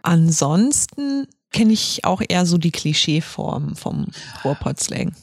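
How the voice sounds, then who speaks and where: A woman with a light Ruhr area accent, speaking in a measured, reflective way in a casual chat. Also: on the low side, very animated, vocal fry, dry recording, clean studio sound.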